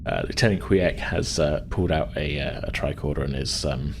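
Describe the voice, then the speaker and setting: Deep-voiced man narrating a sci-fi tabletop game. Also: moderate pace, noisy background. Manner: hesitant, conversational, descriptive. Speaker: game master.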